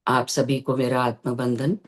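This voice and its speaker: A meditation teacher leading a guided session, giving gentle instructions with long pauses between phrases. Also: medium pitch, level tone.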